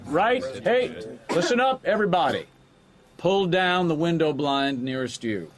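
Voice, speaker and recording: An actor playing a firm, reassuring security agent in an audio drama, speaking with polite, orderly authority. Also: male voice, a little high, lively intonation, unhurried, a crowd in the background. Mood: firm, urgent.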